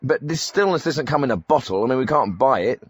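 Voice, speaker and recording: An actor playing a tactless, pushy phone-in host in spoof radio comedy, interrupting with brisk, stumbling questions. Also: male voice, mid-range voice, lively intonation, a little hiss or hum, lightly acted. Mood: dismissive.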